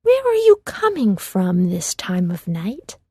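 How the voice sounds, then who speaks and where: Sly old witch for a kids' storybook, done by a female narrator: coaxing, sweet, soft-toned, slow. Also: lowish voice, wildly swooping pitch, breathy edge, dry recording, studio quality, full character voice. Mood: sweetly welcoming. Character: sly old witch posing as a kind old woman.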